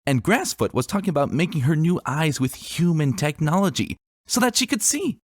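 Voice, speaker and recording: A man's voice: fairly high pitch, very animated, normal speed, dry recording, studio quality, pitched above the performer's own voice. A man voicing an eager, argumentative little pony princess, childlike and earnest, in a dramatic story reading. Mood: eager, excited. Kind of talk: dramatic fan-fiction reading.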